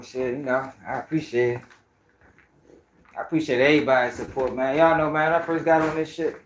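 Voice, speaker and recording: A man's voice: medium pitch, a little hiss or hum, low-quality recording. A man with a Baltimore accent hosting a casual livestream, talking to viewers in loose, profane street slang. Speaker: host of a commentary channel.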